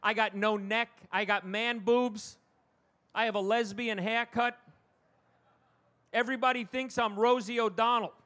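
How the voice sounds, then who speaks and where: Very high-pitched New York stand-up comic, male. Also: fairly even intonation, a little slow, pauses often, bright, forceful tone. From Long Island, New York. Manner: self-deprecating, punchy one-liners. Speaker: stand-up comedian. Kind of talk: stand-up comedy routine. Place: live comedy show before an audience.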